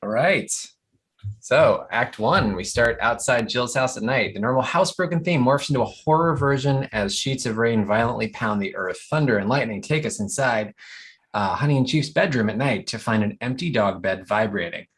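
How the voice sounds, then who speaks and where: A man's voice: mid-range voice, expressive, moderate pace, a bit bright. Male script narrator for a comedy table read, medium register. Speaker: stage-direction reader.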